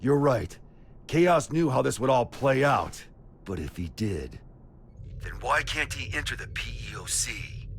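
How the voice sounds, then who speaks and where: An actor playing a gruff, cynical, blunt fighter with a gravelly voice in an anime game cutscene. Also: male voice, mid-range voice, very animated, a little slow, slight background noise. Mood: gruff, pondering. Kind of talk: English-dubbed anime game cutscene acting.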